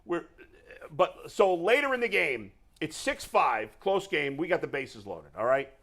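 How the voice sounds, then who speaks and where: A middle-aged man with a deep voice and a New York accent, ranting in casual chat as a sports radio co-host. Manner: animated, exasperated ranting.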